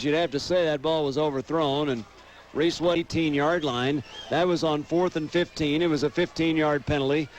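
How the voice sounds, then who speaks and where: A man's voice: fairly high pitch, slight background noise. An American man doing live radio play-by-play commentary of a football game.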